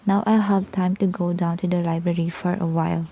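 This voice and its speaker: A woman's voice: lowish voice, flat delivery, a little fast, warm tone, no echo. A woman playing a dry-witted student sister in an amateur radio play. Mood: impressed. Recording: dull lo-fi dry recording.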